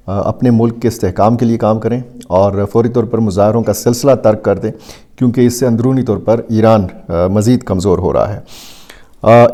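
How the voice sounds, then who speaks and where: A man with a Pakistani accent giving unscripted news analysis to viewers, speaking deliberately and haltingly with frequent drawn-out hesitation sounds. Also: lowish voice, mellow. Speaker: news commentator.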